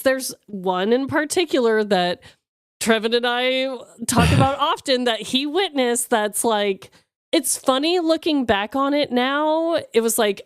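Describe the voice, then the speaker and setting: A woman's voice: sits high, smooth, no echo, studio quality. A woman co-hosting a podcast in casual, candid chat, emphatic and slangy, with some swearing. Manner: candid, emphatic, profane, talking in slangy asides. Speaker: podcast co-host.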